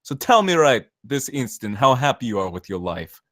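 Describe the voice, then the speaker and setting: A man's voice: medium pitch, big pitch swings, moderate pace, a bit bright, no room sound, clean studio sound. A man at a screenplay table read playing a brash, cynical saboteur who talks in emphatic, profane slang. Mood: bitter, impassioned. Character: cynical, brash zealot saboteur posing as safety inspector.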